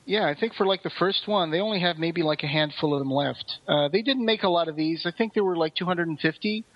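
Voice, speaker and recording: A man's voice: a little high, fast talker, highs cut off. A man co-hosting a podcast in a casual discussion, explaining details at length. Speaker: podcast co-host.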